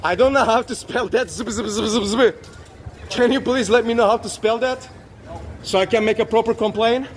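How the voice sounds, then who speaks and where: A man's voice: very high voice, brisk. A man who speaks English as a second language, filming police outdoors on a city street and persistently repeating polite but insistent demands. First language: not English. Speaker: citizen auditor filming police. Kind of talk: confrontational street recording.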